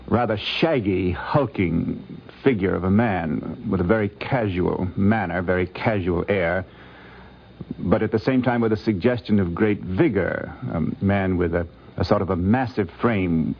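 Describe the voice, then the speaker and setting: An older American man gives an articulate, formal and measured personal reminiscence on a 1950s radio recording. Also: on the low side, highs cut off. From United States. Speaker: college president recalling a memory.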